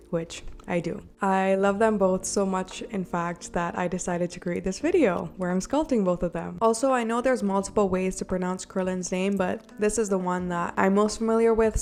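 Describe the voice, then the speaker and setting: A young woman narrating her craft video in a friendly, chatty way, her voice still a little rough from being sick. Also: on the low side. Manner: chatty and self-deprecating, with little laughs. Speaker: polymer clay artist narrating a craft video. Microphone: borrowed desk mic. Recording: soft background music.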